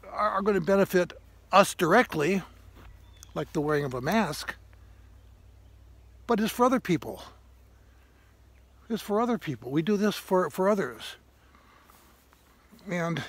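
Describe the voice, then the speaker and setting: Older man, reflective, higher-pitched voice. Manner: thoughtful, halting delivery with repeated emphatic phrases. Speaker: vlogger, retired parish priest. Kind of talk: reflective informal sermon to camera while walking. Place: outdoors on a grassy woodland trail. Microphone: handheld phone at arm's length.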